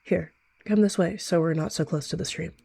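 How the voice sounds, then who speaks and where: A woman's voice: fairly low pitch, steady tone, normal speed, creaky, dark tone, breathy edge, no echo. A woman voicing a scheming evil rogue cat with a casual, falsely friendly and detached way of talking.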